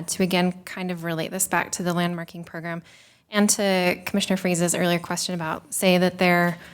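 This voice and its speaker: A woman on city staff briefing a commission at a public meeting, measured and explanatory, with frequent ums. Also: on the low side. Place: city council chambers.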